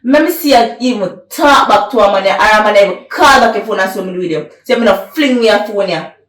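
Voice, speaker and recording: A woman's voice: mid-range voice, moderate pace, lightly acted. A woman playing a bossy, possessive young woman who scolds and lays down the law. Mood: scolding. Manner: scolding, domineering. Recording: roomy, distant from the mic.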